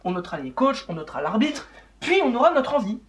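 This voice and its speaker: A man's voice: extremely high pitch, sing-song, fast. A young man, chatting informally as the host of a football show. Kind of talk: casual unedited football chat.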